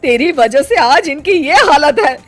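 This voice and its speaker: A woman voicing a stern, scheming older mother-in-law with an emphatic manner in an animated story. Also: high-pitched, unhurried, soft background music. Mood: alarmed, accusing. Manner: loud, accusing, emphatic.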